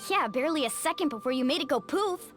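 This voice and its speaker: An actress playing a plucky, caring young adventurer in a video game, casual, expressive and a little sassy. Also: female voice, high-pitched, fast talker, music in the background. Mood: miffed teasing.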